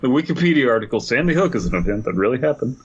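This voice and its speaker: A man's voice: mid-range voice, very animated, racing, highs cut off, a little hiss or hum. A man co-hosting a podcast, riffing casually and jokily with some swearing. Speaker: podcast co-host. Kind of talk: casual comedic banter and commentary.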